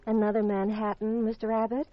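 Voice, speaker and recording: Actress as a flirty widow on vintage radio. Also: female voice, fairly high pitch, level tone, a little fast, close to an everyday voice. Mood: coy, breezy. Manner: coy, teasing, unsentimental. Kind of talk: 1950s radio detective drama acting. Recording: dull vintage radio recording.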